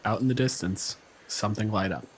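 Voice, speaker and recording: A man's voice: lowish voice, brisk, a little hiss or hum. A man acting as game master, casually narrating a tabletop game in a descriptive, unscripted way. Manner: descriptive, casual, swears freely.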